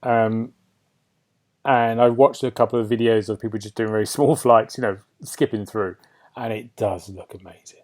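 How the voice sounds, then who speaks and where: A man's voice: lowish voice, steady tone, normal speed, darkish tone. British man hosting a casual gaming podcast. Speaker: podcast host. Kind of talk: casual chat about video games.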